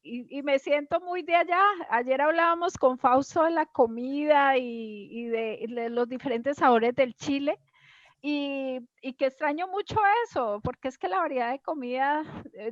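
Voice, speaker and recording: Colombian woman host, effusive and conversational, high voice, dry but muffled lo-fi sound. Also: no echo. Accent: Colombian, Cali area. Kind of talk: casual conversational hosting.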